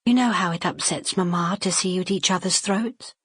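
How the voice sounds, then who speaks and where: A woman voicing a calm, self-controlled elder sister. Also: lowish voice, fairly quick, husky, no echo, studio quality, pitched above the performer's own voice. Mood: placating. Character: calm twenty-year-old elder sister.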